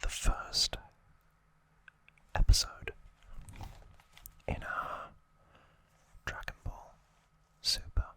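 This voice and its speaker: Young guy doing asmr, all whispered, super slow lil phrases, close on the mic, sharp breathy sound. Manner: hushed, slow, deliberate delivery in short separated phrases. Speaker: host of an ASMR review series. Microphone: close ASMR microphone.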